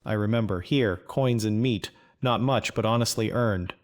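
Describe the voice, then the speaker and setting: Man acting as a gruff old farmer. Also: lowish voice, normal speed, natural voice. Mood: grudgingly grateful. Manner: terse, blunt, dry. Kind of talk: video game quest dialogue.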